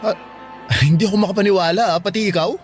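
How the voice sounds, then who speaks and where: A man's voice: high-pitched, steady tone, fast, soft background music, lightly acted. A man acting as a blunt, exasperated young man in a radio drama, dropping English slang into his speech.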